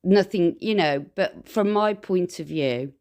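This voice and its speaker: A woman's voice: lowish voice, a little slow, a little mellow, clear, resonant tone. A British woman on a phone call giving a conversational account of a grievance, hesitant yet earnest and insistent. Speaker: complainant on a call.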